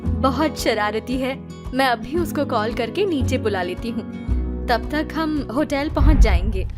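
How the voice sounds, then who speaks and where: An actress playing a gentle, hesitant young mother in a Hindi audio drama, soft-spoken and deferential. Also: sits high, animated, unhurried. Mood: fond. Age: young adult. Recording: clear guitar music behind the voice.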